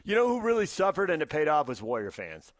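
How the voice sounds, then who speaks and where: A man's voice: on the high side, huge pitch swings, quick pace, no echo. A man co-hosting a sports radio show, chatting casually and offering firm opinions from a close studio mic. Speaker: sports talk radio co-host. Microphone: close studio broadcast mic.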